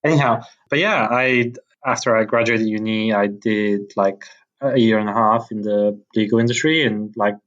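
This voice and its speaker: A man's voice: lowish voice, normal speed, fairly bright tone, lo-fi sound. A man from Bulgaria in his thirties, a podcast guest chatting casually with many restarts and fillers and frequent laughs. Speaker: podcast guest, tech founder. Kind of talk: casual interview chat.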